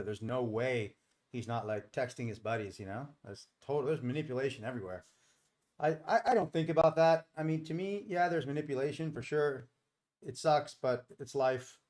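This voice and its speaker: A man's voice: medium pitch, a little mellow. A middle-aged man hosting a live stream, talking casually and explaining things in a rambling, informal way. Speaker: live stream host and trader.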